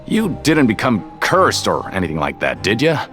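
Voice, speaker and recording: A man's voice: fairly low pitch, wildly swooping pitch, moderate pace, a bit bright, soft background music. A man playing a nosy, superstitious, informal convict in a video game, hesitant and incredulous. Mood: worried. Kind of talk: video game character acting.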